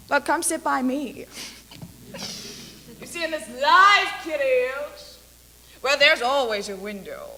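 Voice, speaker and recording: A woman playing a brash female Hollywood agent in a dramatic stage monologue, speaking deliberately with long pauses. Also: high-pitched, bright, forceful tone, exaggerated voice. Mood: breezy, gleeful. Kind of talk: theatrical dramatic monologue for speech competition.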